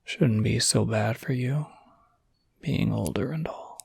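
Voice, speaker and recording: Slow, breathy whispering gentle werewolf caretaker played by a man. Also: medium pitch, dry recording, clean studio sound, heavily acted. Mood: caring, reassuring. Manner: soothing, halting, unhurried. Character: gentle, reassuring adult male werewolf caretaker, easygoing. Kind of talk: ASMR roleplay, intimate whispering.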